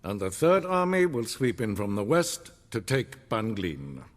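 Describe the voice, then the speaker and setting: A cold, imperious emperor in a fantasy video game. He speaks low and measured, haughty and impatient, his commands carrying through a long hall reverb. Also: wildly swooping pitch. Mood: cool authority.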